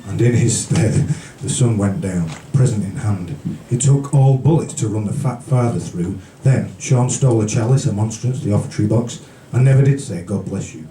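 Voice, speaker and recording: A man from the British Isles reading poetry live at a café event in a measured storytelling manner, with brief casual asides. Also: on the low side, dark tone. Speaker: featured poet. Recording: roomy, distant, noisy live recording.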